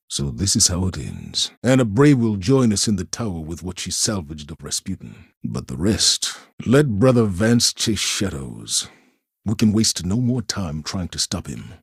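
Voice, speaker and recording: Deep, husky military commander. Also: male voice, dry recording, studio quality, heavily acted. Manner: grave, measured, formal. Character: stern, weary, dutiful male military commander. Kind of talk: dramatic video game voice acting.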